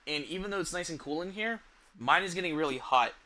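A young man chatting casually on a game livestream, halting and hesitant, with a sweary, offhand way of talking. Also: a little high, expressive, pushed and bright. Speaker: video game streamer. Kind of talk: casual livestream chat over gameplay. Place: small indoor room at home.

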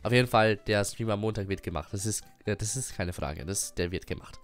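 A man's voice: on the low side, fairly quick, faint music behind. Young man with a cold, rambling update. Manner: rambling, many fillers and restarts, frequent chuckles. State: has a cold. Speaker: gaming YouTuber and streamer. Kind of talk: casual channel update.

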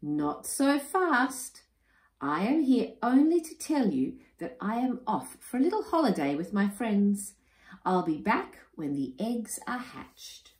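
A woman voicing a fed-up, sharp-tongued mother emu in a storybook reading. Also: medium pitch, sing-song, slow pace, pitched above the performer's own voice. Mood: teasing. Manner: scolding, emphatic. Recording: roomy echo.

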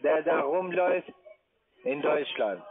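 A man's voice: on the high side, expressive, unhurried. Combative man on a tinny phone line. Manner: insulting, combative, repetitive. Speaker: call centre agent. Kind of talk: heated phone argument. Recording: tinny phone line with busy call-centre chatter. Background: crowd of voices.

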